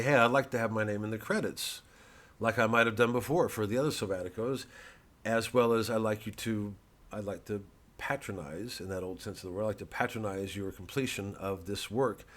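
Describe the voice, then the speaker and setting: A middle-aged man, a comedian, talking casually and digressively about his work with a halting, wry delivery. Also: lowish voice. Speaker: comedian promoting his own shows and albums. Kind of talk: rambling casual talk to camera.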